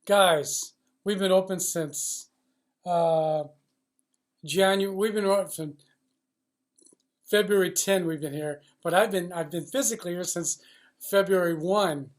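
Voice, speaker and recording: A man giving an unscripted, opinionated monologue as an industry insider, speaking bluntly and emphatically with salty language and repeating phrases in a halting way. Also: high voice. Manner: blunt, emphatic, profane, riled up. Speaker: loudspeaker supply industry commentator based in China.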